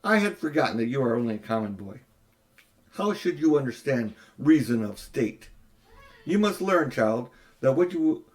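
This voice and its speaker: A man's voice: mid-range voice, a little mellow, lightly acted. A cold, imperious queen, as an older man reading a storybook puts her on. She speaks with haughty disdain, as if every listener were beneath her. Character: haughty cruel queen, tall and commanding.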